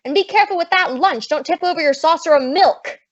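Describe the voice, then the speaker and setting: A woman's voice: very high-pitched, fairly quick, a little hiss or hum. An actress playing a brassy, wisecracking young American showgirl with a slangy, sassy manner in a radio comedy. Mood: indignant, sassy. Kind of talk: 1950s radio comedy acting. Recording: dull old-time radio recording.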